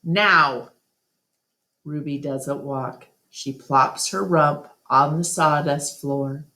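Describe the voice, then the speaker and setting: A woman reading a children's novel aloud as storyteller, in deliberate, expressive scripted narration. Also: low voice.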